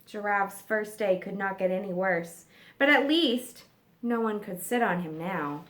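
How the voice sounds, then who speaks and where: A woman teacher reading a story aloud to young children, expressive and pausing to explain and ask them questions. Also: medium pitch. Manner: expressive, asks listeners questions. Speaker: kindergarten teacher.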